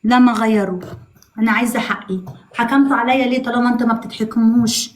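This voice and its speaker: A woman speaks in a high-pitched voice with an Egyptian accent. She banters informally on a live stream, pressing her point with teasing, mock-stern insistence. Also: fairly even intonation, fast, low-quality recording. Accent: Egyptian Arabic. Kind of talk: casual bantering live chat.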